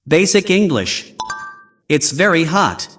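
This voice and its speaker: A man hosting an English lesson, giving slow, clear, scripted prompts between practice clips. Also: a little high, very animated, a bit bright, slight background noise. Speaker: English teacher host.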